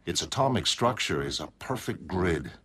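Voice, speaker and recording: A man voicing a detached, godlike superhuman in a motion comic, speaking in a measured, melancholy, matter-of-fact way. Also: deep voice, big pitch swings, bright, forceful tone, breathy edge, dry recording, exaggerated voice. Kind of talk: scripted motion comic narration.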